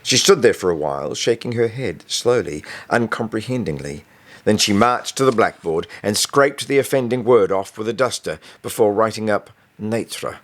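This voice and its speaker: A man with a deep, low voice narrates a novel in the first person. He reads as an author telling a scripted story, in audiobook style. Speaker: author reading own novel.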